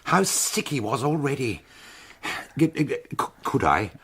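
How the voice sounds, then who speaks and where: An actor playing an older, faded painter and former art teacher, musing and haltingly reverent, in a radio drama. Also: male voice, medium pitch, very animated, a bit bright, husky, exaggerated voice. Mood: awed, halting. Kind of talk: British radio drama acting.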